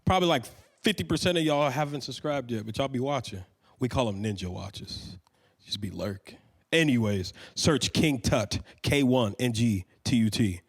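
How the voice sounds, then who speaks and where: A man works as a podcast host in a studio. His delivery is casual and informal, with plenty of slang, and his voice sits at an ordinary middle pitch for a man. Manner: informal, slangy address to listeners. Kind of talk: casual podcast hosting and interviewing.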